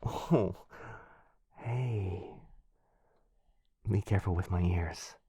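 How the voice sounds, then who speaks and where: Casting: male actor as affectionate, nervous young dog-man roommate for boyfriend ASMR; low, soft-spoken and hesitant, very close and dry. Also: drawn-out words, breathy edge, no echo, heavily acted. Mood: tender, tentative. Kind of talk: boyfriend ASMR audio roleplay.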